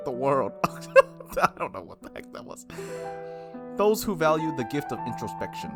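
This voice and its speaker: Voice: mid-range voice, sing-song, normal speed, music in the background. A gaming video host with an informal, playful way of talking, commenting casually and reading game text aloud. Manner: informal, giggly, frequent "like", playful asides. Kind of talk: casual gameplay commentary with read-aloud visual novel text.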